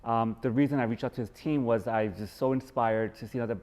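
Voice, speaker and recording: Male podcast host, mid pitch, big formal welcome then earnest questions. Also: fairly even intonation, fast, darkish tone. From Pakistan. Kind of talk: podcast interview. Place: guest's house.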